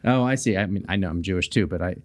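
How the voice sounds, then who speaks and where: Low-voiced man hosting a casual chat. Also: rapid-fire. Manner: relaxed, laughing, conversational. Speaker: podcast host.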